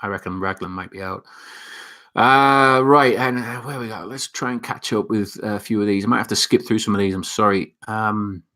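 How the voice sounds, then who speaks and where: A man's voice: lowish voice, moderate pace, bright, forceful tone. A young man with a Northeast England accent, hosting a casual live chat and reading viewer comments, rambling with lots of fillers and restarts. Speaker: livestream host reading chat.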